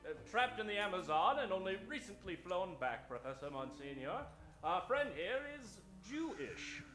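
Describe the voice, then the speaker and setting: A man playing an earnest, pompous adventurer in an old-time radio parody, with halting pauses and dry deadpan asides. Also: high voice, soft background music.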